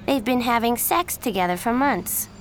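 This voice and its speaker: A woman voicing a catty teenage girl who spreads gossip, in a sweet, needling, high voice, in an audiobook reading. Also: moderate pace, gravelly, a bit bright, slight background noise. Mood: sly sweet.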